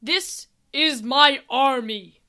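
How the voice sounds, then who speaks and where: Lady doing a booming alien army boss guy from a storybook, formal, forceful, pitched high. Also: very slow, exaggerated voice. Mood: proud, grave. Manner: loud, booming, declamatory. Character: commanding, formal male leader of an otherworldly army. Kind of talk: storybook reading.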